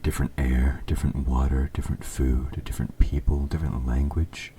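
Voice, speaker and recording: Guy playing a super low voiced evil king, dark and mellow, polite but quietly menacing, formal fantasy roleplay, bit of background noise. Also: level tone. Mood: courteous, controlling. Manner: formal, courtly, measured. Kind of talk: scripted audio roleplay addressed to the listener.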